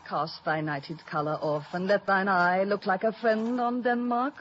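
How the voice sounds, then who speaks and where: A woman's voice: medium pitch, steady tone, a little slow, natural voice. A woman playing a mature, earnest queen and mother in stately 1950s radio Shakespeare. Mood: earnest pleading. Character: Queen Gertrude, mature royal mother pleading gently. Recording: muffled, faintly noisy old radio broadcast.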